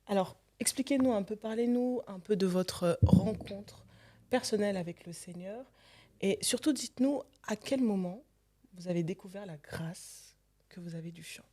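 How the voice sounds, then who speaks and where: A woman hosts an interview show. She addresses her guest formally, in an unhurried and welcoming way, with a voice sitting in a middle register. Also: medium pitch, dark tone. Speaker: talk show host. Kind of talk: hosting an interview.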